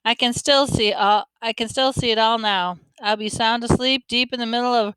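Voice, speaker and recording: A woman's voice: a little high, fairly even intonation, moderate pace, a bit bright, clean tone, no echo, voice pushed up, close to an everyday voice, poor audio. A woman reading the part of a friendly, teasing older man, a grandfather and former soldier, in a folksy, joking way. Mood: earnest.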